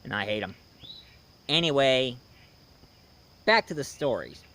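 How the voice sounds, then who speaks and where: A man giving a casual, conversational review commentary with history asides, speaking informally and haltingly as he goes. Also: medium pitch, animated, fairly bright tone. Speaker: video reviewer.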